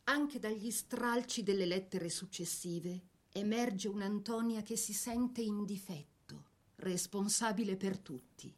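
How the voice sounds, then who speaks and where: Female narrator, mid-pitched, scripted dramatic narration, solemn, literary, measured phrasing.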